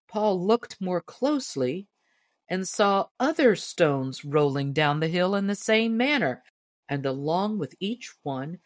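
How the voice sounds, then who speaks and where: A woman's voice: lowish voice, no room sound, clean studio sound. A woman reading a storybook tale aloud as scripted narration. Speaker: audiobook narrator.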